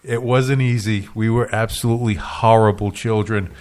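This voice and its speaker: A man's voice: lowish voice, steady tone, normal speed, darkish tone. A man hosting a local radio talk show, joking with his guest and often restarting his sentences. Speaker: radio host. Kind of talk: casual radio interview.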